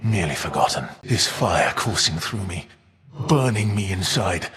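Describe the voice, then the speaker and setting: An actor playing a proud, vengeful, defiant Titan of fire in a video game, speaking with grave, haughty, archaic formality. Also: male voice, low voice, very animated, moderate pace, a bit bright, heavily acted. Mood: grave, scornful, then vengeful menace. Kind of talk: dramatic video game villain voice acting. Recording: long reverb tail.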